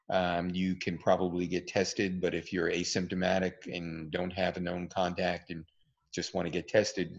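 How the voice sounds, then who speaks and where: Deep-voiced man on a muffled call. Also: gravelly. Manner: relaxed, explanatory, with many fillers. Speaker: presenter, physician. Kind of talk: online talk and Q&A. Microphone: video call. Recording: dull lo-fi video call audio.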